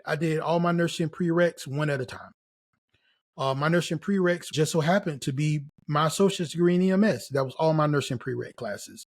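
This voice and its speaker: An American man in middle age with a slightly high voice for a man. He speaks in a casual, motivational way, like a mentor hosting a vlog. His delivery is encouraging and emphatic, circling back to key phrases. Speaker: host, nursing career mentor. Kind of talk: casual motivational advice to camera.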